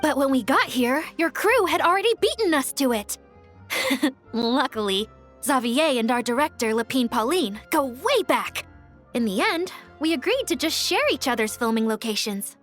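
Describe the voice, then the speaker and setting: An eager young reporter with a high, bright voice. She talks in a perky, theatrical way, swooping up and down. Light game music plays behind her. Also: sing-song, moderate pace. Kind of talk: video game voice acting.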